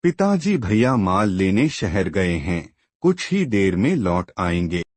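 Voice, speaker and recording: Man acting a husky, dutiful young son. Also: sits low, sing-song, slow pace, no room sound, heavily acted. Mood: respectful. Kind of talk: Hindi cartoon moral story acting.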